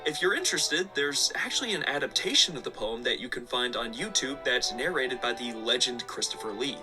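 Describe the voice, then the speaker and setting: A man's voice: mid-range voice, lively intonation, fairly quick, poor audio. A man narrating a scripted video essay with casual asides. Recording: background music under the voice. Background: music.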